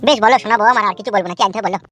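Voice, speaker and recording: Man acting grumpy, fast-talking cartoon village husband. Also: sits high, loud music behind the voice, close to an everyday voice. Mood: wheedling. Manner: bickering, blunt, repetitive. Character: grumpy, foul-mouthed village husband who wheedles his wife. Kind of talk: cartoon comedy dialogue.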